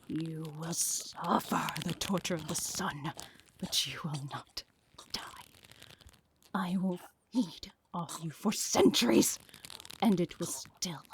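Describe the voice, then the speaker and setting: A woman playing a vengeful vampire elder in an audio drama, with a slow, deliberate, threatening delivery. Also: lowish voice, a little hiss or hum, poor audio. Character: vengeful female vampire elder, cold and menacing. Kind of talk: dramatic audio fiction acting.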